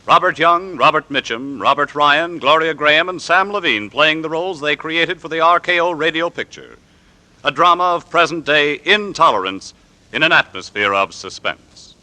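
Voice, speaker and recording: A man announcing in theatrical 1940s radio style, with dramatic, deliberate emphasis and suspenseful pauses. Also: a little high, sharp tone. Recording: vintage 1940s radio recording with music.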